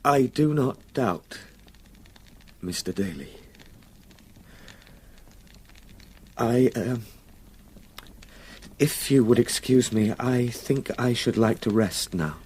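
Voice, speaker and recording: An actor playing a polite, anxious young solicitor in a radio drama, speaking earnestly with formal courtesy. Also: male voice, mid-range voice, animated, takes it slowly, pauses often, darkish tone, husky. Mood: weary, courteous.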